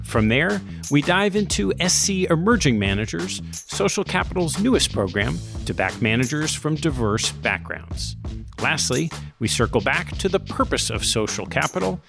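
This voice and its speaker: Measured male podcast host over music. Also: on the high side, big pitch swings, creaky. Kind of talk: scripted intro and ad reads, then interview questions.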